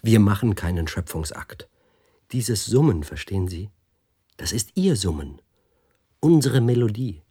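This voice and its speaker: A suspicious, agitated man in a radio play. His deep voice is slightly rough. He probes and repeats himself insistently. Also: dark tone. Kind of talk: German radio play acting.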